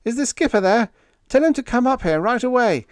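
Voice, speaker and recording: Sci-fi story junior officer male reader performing: frantic, shrilly raised pitch, yelling in alarm. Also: animated, brisk, no room sound, studio quality. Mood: panicked. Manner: yelling, panicky. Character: panicky young lieutenant shouting an urgent alarm. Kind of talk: dramatised science fiction story reading.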